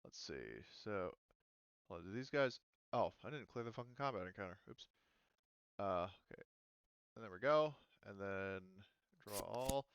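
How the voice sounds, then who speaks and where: A man's voice: on the low side, very animated, a little slow, long pauses, smooth, no echo, dull audio. A man casually narrating a tabletop roleplaying game as game master, informal and prone to swearing.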